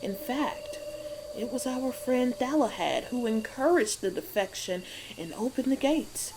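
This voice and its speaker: A woman playing an elderly, knowing witch in a dark fairy tale audiobook, speaking in measured, cryptic and solemn storytelling. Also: a little high, lively intonation, noisy background. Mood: grave recounting. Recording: music behind the voice. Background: music.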